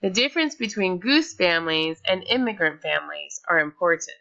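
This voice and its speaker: A woman's voice: lowish voice, animated, a little fast, creaky, bright, forceful tone, highs cut off. A woman reading a scripted slide presentation aloud, with small slips and restarts.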